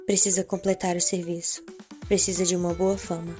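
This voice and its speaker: A woman playing a small, stoic young barbarian in an audio drama, deadpan and curt. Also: on the low side, fairly quick, soft background music, lightly acted. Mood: grim self-reminding.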